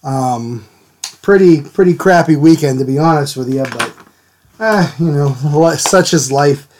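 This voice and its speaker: A man hosting a live drawing stream, a little hoarse from a cold, chatting casually and greeting viewers by name. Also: fairly high pitch, measured pace, a little mellow, a little hiss or hum. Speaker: art stream host. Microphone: desk mic, sometimes turned away from it.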